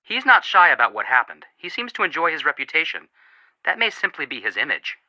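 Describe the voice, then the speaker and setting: An actor playing an earnest, sympathetic young amateur detective in scripted video game dialogue. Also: male voice, a little high, very animated, fairly quick, pushed and bright, dry recording, muffled recording, exaggerated voice. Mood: earnest, reflective.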